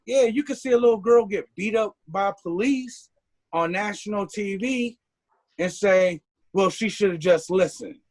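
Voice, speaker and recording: High-pitched guy on a podcast, fired up, asks what's the big deal and such. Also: a little slow. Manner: emphatic, exasperated, rhetorical questions. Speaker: podcast guest. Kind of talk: casual chat.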